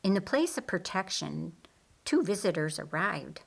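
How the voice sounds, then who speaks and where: A woman's voice: lowish voice, sing-song, moderate pace, gravelly, breathy edge. A woman reading a story aloud as an audiobook narrator, in scripted storytelling style.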